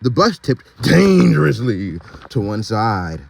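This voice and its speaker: Male storyteller, lively swings in pitch, close dry sound, reads a children's book with chatty, slangy asides. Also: slow pace, gravelly, husky, dry recording. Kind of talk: reading a picture book aloud with casual asides.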